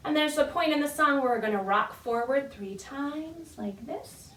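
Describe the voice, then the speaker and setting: A woman's voice: high-pitched, animated, normal speed, a bit bright. Woman leading baby storytime, echoey room. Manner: encouraging, sing-song, demonstrative. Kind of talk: friendly instructional talk to parents and babies. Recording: roomy echo, distant mic.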